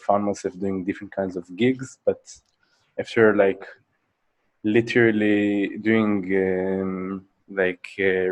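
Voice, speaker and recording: Low-voiced man, halting. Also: a little mellow. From Israel. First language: Hebrew. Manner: halting, many fillers and restarts, laughs often. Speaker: guest. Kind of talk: casual interview chat.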